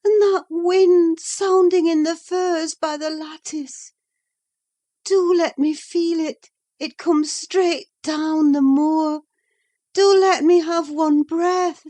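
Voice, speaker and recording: A woman's voice: high-pitched, slow, mellow, voice pushed up. A woman reading an audiobook, voicing a feverish, delirious young woman who swings between dreaminess and panic. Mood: pleading. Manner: dreamy then panicked, pleading.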